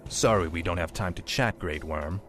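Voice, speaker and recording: Game antihero role, male actor: cold death knight, low register, terse and commanding. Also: moderate pace, natural voice. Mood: cold resolve. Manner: curt, cold. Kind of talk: video game cutscene acting. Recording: game audio under loud music.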